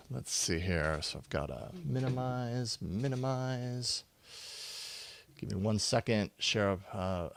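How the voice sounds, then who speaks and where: A man hosting a live show in a casual, informal, conversational way. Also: on the low side. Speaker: podcast host.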